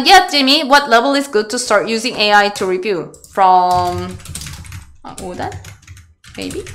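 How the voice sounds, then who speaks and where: Korean lady go teacher on a webcam call, bright slightly high voice, chatty, halting english. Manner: chatty, switches languages, answers chat questions in halting English. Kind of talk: live online lecture Q&A. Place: small room indoors. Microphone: webcam on video call.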